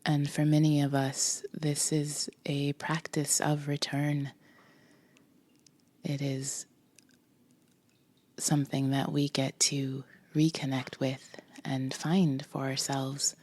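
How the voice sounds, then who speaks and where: A woman leading a guided meditation with a soft, breathy voice, gently instructing in short phrases with pauses between them. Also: deep voice, level tone, no room sound. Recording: music behind the voice. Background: music.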